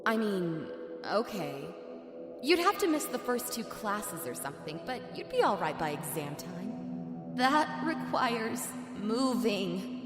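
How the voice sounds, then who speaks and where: A woman's voice: mid-range voice, very animated, slow pace. A woman playing bickering teenage twin sisters in an audio drama, sarcastic and exasperated, in a roomy reverberant recording. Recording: roomy long reverb under loud music.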